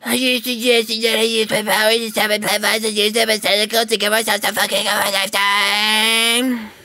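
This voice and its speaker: Woman voicing a bright, earnest cartoon plant girl. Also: mid-range voice, flat delivery, fairly quick, dry recording. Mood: surprised. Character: polite, earnest young female plant-girl alien. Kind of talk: comic dub voice acting.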